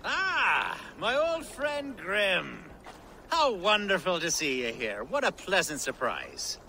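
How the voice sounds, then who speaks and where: An actor playing a genial, ingratiating wealthy Saxon lord in a video game, with an effusive, flowery manner. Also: male voice, high voice, wildly swooping pitch, takes it slowly, vocal fry, sharp tone, slight background noise, heavily acted. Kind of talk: video game drama acting.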